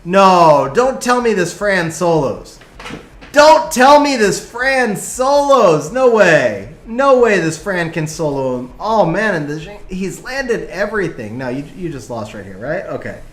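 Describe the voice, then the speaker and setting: Animated male game streamer, echoey room. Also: sits high. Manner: animated, quick play-by-play, bursts of excitement. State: voice getting worn from long streaming. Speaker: streamer commenting on viewer replays. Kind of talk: live game commentary and viewer chat.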